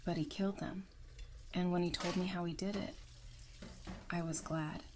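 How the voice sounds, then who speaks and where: A woman's voice: fairly low pitch, fairly even intonation, normal speed, background noise, lightly acted. A woman playing a composed, candid psychiatrist in an audio drama, speaking thoughtfully. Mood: grieving, candid.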